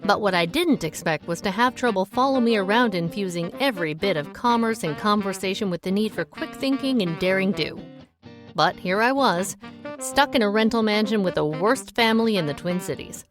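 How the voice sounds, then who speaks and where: A woman's voice: mid-range voice, moderate pace, over music, lightly acted. A woman playing a plucky, folksy amateur sleuth with wry, old-time cowgirl phrasing in a comic audio drama. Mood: wry narrating. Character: plucky, folksy female amateur sleuth narrating her adventures. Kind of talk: comic audio drama, first-person narration.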